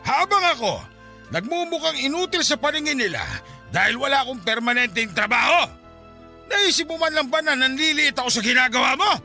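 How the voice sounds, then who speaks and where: Piercing, belligerent drunken Filipino husband. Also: male voice, very high-pitched, expressive, a little fast, pushed and bright, soft background music, heavily acted. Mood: bitter, angry. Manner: aggressive, barking, domineering. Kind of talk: radio melodrama acting.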